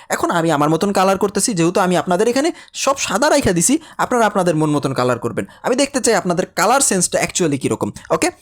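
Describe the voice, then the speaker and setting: A man with a Bangladeshi Bengali accent giving an informal step-by-step software lesson, repeating words and often asking check questions. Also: fairly high pitch. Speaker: animation teacher.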